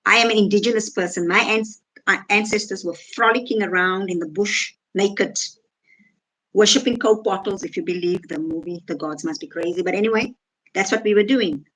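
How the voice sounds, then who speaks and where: A woman's voice: medium pitch, normal speed, a bit bright, rough recording. A woman from Africa, casually reading out comments and answering them with sarcasm. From South Africa. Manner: sarcastic, reads out and rebuts comments. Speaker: vlogger reacting to comments.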